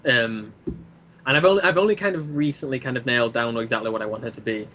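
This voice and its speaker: A man's voice: mid-range voice, fast talker, pushed and bright, lo-fi sound. A man chatting casually as an interview guest, explaining his series in a rambling, eager way. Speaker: guest, creator of an audio drama series.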